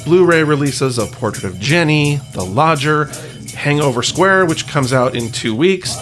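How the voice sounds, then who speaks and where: A man's voice: a little high, animated, measured pace, a bit bright, soft background music. A man hosting a podcast in a casual, wry, self-deprecating and informal way. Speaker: podcast host. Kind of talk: casual podcast talk with read-out news items.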